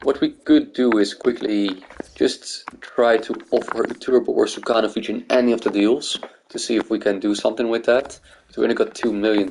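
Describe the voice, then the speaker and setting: A man's voice: lowish voice, gravelly, darkish tone, slight background noise, rough recording. A man from Greece making a gaming video, thinking aloud in casual commentary.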